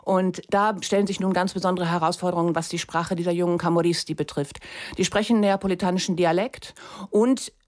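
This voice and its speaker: A woman's voice: on the low side, fairly even intonation, fairly quick, darkish tone. A woman, answering radio interview questions as a guest in a considered, articulate way. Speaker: literary translator, interview guest.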